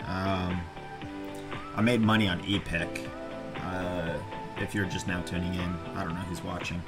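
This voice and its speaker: Slow, low-voiced man chatting on livestream. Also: flat delivery, over loud music. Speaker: livestream host. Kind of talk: casual livestream chat.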